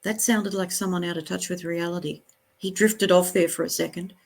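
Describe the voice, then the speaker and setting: A woman's voice: lowish voice, steady tone, brisk, vocal fry. A woman giving critical, disapproving spoken commentary as the host of a reaction video, with blunt interjections.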